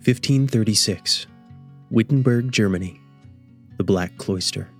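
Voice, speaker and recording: Male narrator for scripted radio drama, measured storytelling delivery, lower-pitched voice, plucked guitar music behind. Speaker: host and narrator.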